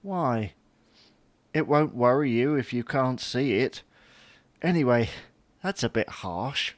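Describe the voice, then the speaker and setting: Man acting a slow-talking grumpy husband. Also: medium pitch, lively intonation, dry recording. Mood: reluctant. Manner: grouchy, plaintive, hesitant. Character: grumpy, whining, babyish husband. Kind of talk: audio comedy drama acting.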